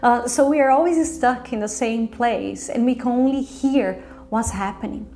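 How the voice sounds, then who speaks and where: A woman reviewer delivering semi-scripted commentary in an articulate, explanatory way with measured phrasing. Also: a little high, warm tone. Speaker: drama reviewer hosting own review show.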